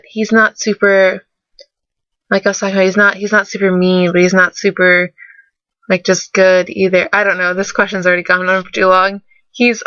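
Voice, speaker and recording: Young woman, sick, bright tense voice, rambling and self-deprecating, dry dull webcam audio. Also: mid-range voice, dry recording. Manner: rambling, self-deprecating, many fillers and restarts. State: sick, voice rougher than usual. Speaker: vlogger. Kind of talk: casual webcam Q&A. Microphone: webcam mic.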